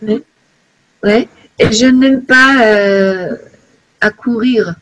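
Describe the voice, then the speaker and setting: A woman from China, introducing herself haltingly in a casual online class on a video call. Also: fairly high pitch, a bit bright, low-quality recording. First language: Chinese. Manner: hesitant, searching for words. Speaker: learner and organizer.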